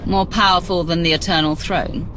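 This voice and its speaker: A woman's voice: fairly low pitch, steady tone, moderate pace, close to an everyday voice. An actress playing an authoritative, terse woman who commands a galactic alliance in a video game. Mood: resolute. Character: commanding female Sith leader of a galactic alliance. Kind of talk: video game cutscene acting. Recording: loud music under dialogue.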